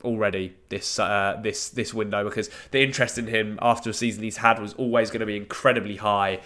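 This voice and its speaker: A man's voice: lowish voice, steady tone, brisk, a bit bright. A young man with a blocked nose from a cold, sounding nasal as he talks casually through football transfer news as a fan channel host. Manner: earnest, pleading fan talk.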